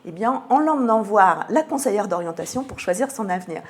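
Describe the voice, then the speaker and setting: A woman's voice: mid-range voice, expressive, brisk. A woman with an accent from France, explaining a cultural programme as a radio interview guest, articulate but often hesitating as she finds her words. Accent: French from France.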